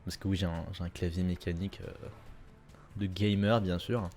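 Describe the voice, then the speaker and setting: Gamer guy, lowish voice, easygoing play-along commentary at his desk mic. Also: moderate pace. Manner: relaxed, rambling, many fillers and repeats. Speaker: gaming YouTuber. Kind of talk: casual gameplay commentary. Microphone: desk mic at a gaming setup.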